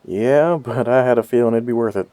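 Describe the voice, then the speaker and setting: A man's voice: medium pitch, a little fast, mellow, dry recording, close to an everyday voice. A man playing an earnest, awestruck Texan pilgrim interviewed in an audio drama news parody. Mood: earnest awe. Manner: earnest, reverent. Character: awestruck Texan pilgrim, newly devoted believer.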